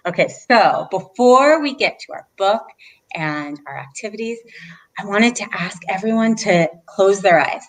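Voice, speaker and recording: A woman's voice: medium pitch, lively intonation, measured pace, low-quality recording. A woman hosting a read-aloud for children, speaking as a librarian in an encouraging way and putting questions to her listeners. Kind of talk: children's storytime chat.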